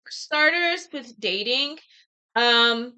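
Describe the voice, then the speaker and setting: An American woman with a fairly high, bright voice and a smooth, clear tone. She talks casually and reflectively, choosing her words in a halting, deliberate way. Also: animated, rough recording. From United States. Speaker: vlogger discussing a book. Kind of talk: casual reflective talk to camera.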